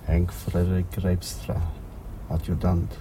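An actor playing a small, calm man, polite and deliberate, in a radio drama. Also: low voice, noisy background.

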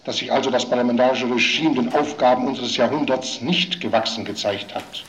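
A man's voice: mid-range voice, a little fast, fairly bright tone, lightly acted, poor audio. A man playing a grave post-war parliamentarian, giving an insistent, rhetorical political speech. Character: grave male post-war parliamentarian arguing before committee.

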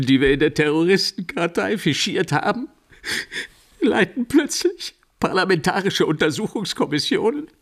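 Embittered policeman, middle-aged, tearful lament, slow delivery, voice breaking upward, radio crime drama. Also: exaggerated voice. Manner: tearful, lamenting, swinging into shrill laughter. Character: weeping, embittered, disillusioned policeman lamenting a changed world. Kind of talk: German radio play acting.

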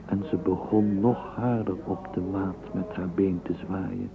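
An actor narrating a literary radio play as a measured, lyrical male storyteller. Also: low voice, steady tone. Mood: wry, measured. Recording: muffled, noisy old radio play recording.

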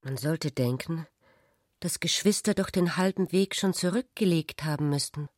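An actress playing a sharp-witted, provocative, tired sister in a literary radio drama, speaking in an articulate, insistent way. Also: female voice, low voice, moderate pace, no room sound, studio quality.

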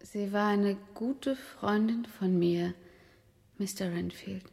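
A woman playing a polite, brave young married gentlewoman in a German radio drama, composed in manner, in a reverberant space. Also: mid-range voice, slow, creaky. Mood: polite, careful. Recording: long reverberant room sound.

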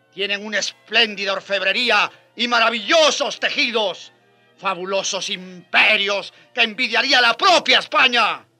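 An old friar full of indignation in a radio serial. His voice is very high and sharply forceful, emphatic as an orator's, with music behind him. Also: full character voice. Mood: firm, insistent. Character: old, passionate, indignant Spanish friar defending the Indians. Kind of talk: radio drama acting.

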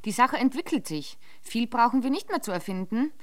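An actress playing a curious, quick-witted woman in a German radio detective drama, questioning with playful skepticism. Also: fairly high pitch, expressive, lightly acted. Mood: excited, teasing.

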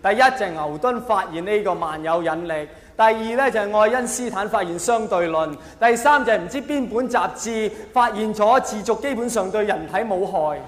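A man's voice: high-pitched, expressive, normal speed, hardly any pauses. A middle-aged man with a Hong Kong accent performing a theatrical, mock-earnest stand-up comedy monologue. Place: large hall, live stage performance. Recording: echoey hall reverb, faint background noise.

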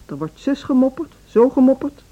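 An actress playing a formal, condescending woman who runs a music school in a radio drama, speaking in a measured, officious way. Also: sits high, sing-song, mellow, muffled recording. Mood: dismissive, condescending. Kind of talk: Dutch radio drama acting.

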